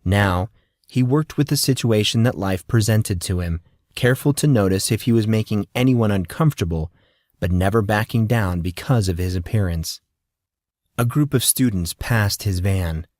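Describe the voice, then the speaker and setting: A man's voice: lowish voice, moderate pace, darkish tone, dry recording, studio quality. A man narrating scripted fiction as an audiobook storyteller.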